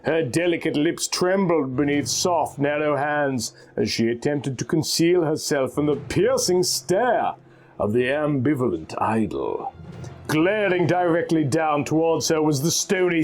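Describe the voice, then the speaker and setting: A man's voice: fairly high pitch, expressive, a little slow. A man reading pulp fantasy aloud in a theatrical, scripted way, drawing out words at the ends of phrases. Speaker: narrator reading a story aloud.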